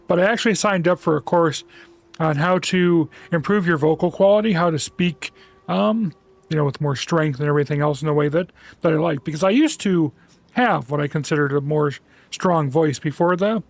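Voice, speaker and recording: A man chatting casually on a home livestream through a headset mic, rambling with lots of restarts and fillers in a relaxed, soft-spoken way. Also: on the high side, vocal fry. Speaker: streamer.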